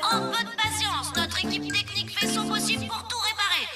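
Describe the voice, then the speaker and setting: A woman's voice: very high-pitched, steady tone, fairly quick. A woman voicing an enthusiastic child announcer in a French children's cartoon, speaking in a declamatory way. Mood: announcing. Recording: loud music behind the voice.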